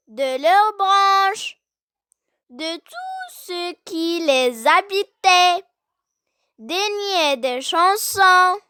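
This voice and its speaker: A very high-pitched, childlike voice recites poetry with a bright tone and lively melody. The delivery is deliberate, broken into short phrases with long pauses between them, as if for young learners to follow. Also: no room sound, studio quality. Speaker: poem reciter.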